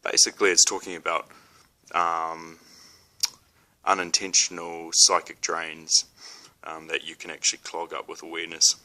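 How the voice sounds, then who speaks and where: A man's voice: sits low, completely flat delivery, normal speed, pushed and bright. A young man with a New Zealand accent talks casually to camera, hesitant, with many ums and restarts. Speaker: spiritual vlogger.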